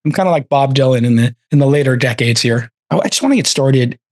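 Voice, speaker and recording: A man with a raspy voice hosts a podcast in a relaxed, chatty way. His voice sits at a middle pitch and is recorded close and dry, with no room sound. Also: fast, dry recording. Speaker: podcast host. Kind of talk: casual podcast interviewing.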